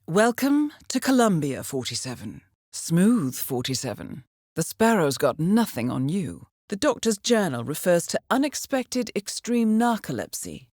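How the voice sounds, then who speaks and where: Poised spy handler, low-pitched. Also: female voice, sing-song, a little mellow, no room sound, studio quality. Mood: composed, businesslike. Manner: measured, composed, precise, understated. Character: poised, cool-headed female spy handler, professional and dryly witty. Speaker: mission handler briefing an agent. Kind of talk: scripted video game briefing voice-over. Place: studio.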